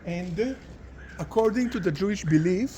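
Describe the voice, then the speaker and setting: A man's voice: high-pitched, expressive, normal speed, mellow, slight background noise. A man with an Israeli accent, a doctoral researcher explaining a site in casual chat, with hesitant fillers and dry humor.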